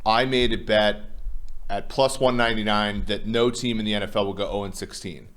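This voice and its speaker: A man co-hosting a casual sports chat, talking loudly and rambling, with many restarts and fillers. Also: fairly low pitch, fairly even intonation, a little fast. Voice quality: loud voice. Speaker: co-host.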